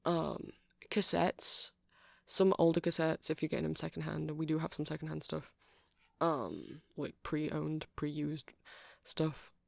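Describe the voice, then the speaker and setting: Man playing a creaky, soft-spoken nerdy vampire shopkeeper. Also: fairly high pitch, a little slow, no echo, studio quality. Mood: helpful, hesitant. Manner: hesitant, rambling, filler-heavy, soft-spoken. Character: nerdy, friendly vampire music shop keeper, rambling and hesitant. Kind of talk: ASMR roleplay, casual rambling monologue.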